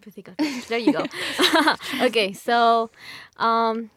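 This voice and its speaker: A woman's voice: fairly high pitch, a little slow, a bit bright. A young Peruvian woman with a Peruvian Spanish accent, a guest chatting casually and sometimes hunting for English words. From Peru. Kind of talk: casual chat.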